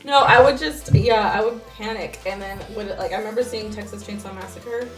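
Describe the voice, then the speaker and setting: Quick-talking, candid woman podcaster. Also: medium pitch, faint music behind. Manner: candid, emphatic, swears freely. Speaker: podcast co-host. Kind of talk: casual podcast banter. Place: home studio garage.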